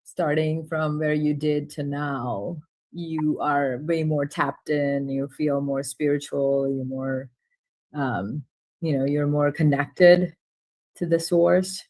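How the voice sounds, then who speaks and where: Low-pitched woman hosting casual chat. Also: steady tone, slow pace, a little mellow, no echo, poor audio. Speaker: host. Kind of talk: casual conversation.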